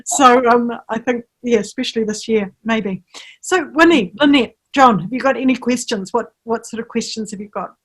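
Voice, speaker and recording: A woman with a fairly high voice hosts a networking meetup. She responds to the speaker warmly and reflectively, often leaning on filler phrases like "you know". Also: normal speed, husky, poor audio. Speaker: host.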